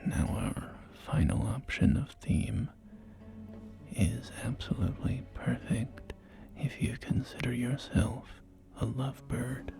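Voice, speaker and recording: A man playing a polite, salesy post-apocalyptic real estate agent in a whispered ASMR roleplay, with hesitant, soft-spoken patter. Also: medium pitch, gravelly. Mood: proud, inviting. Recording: close whisper under loud music. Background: loud music.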